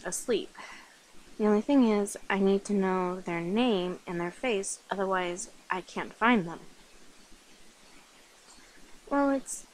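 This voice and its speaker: A woman playing a flirty, affectionate, slightly nervous vampire in an intimate ASMR roleplay, speaking softly, coyly and hesitantly to the listener. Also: mid-range voice, slight background noise, low-quality recording. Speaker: voice actor in an audio roleplay.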